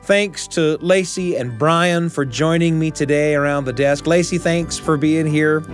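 A man's voice: on the high side, unhurried, clear, resonant tone, soft background music. A man hosting a radio show, narrating like a storyteller with dramatic, emphatic phrasing.

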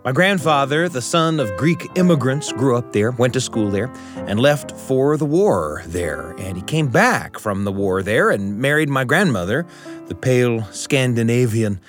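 A middle-aged American man telling a personal story as a radio host, with deliberate, literary phrasing and dramatic repetition. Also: mid-range voice, expressive, faint music behind. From United States.

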